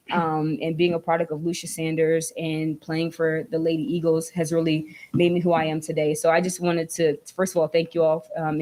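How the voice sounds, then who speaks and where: Low, flat-voiced woman making an earnest appeal. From Georgia, US. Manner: earnest, heartfelt appeal with frequent "right?" and "you know". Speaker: community speaker addressing city council. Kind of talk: public comment at a council meeting. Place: council chamber.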